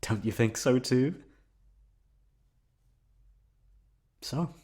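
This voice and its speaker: A man voicing an obsessive, jealous, lovesick young man in an intimate ASMR roleplay, soft-spoken, wounded and menacing. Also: mid-range voice, expressive, measured pace, slightly rough. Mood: quietly menacing.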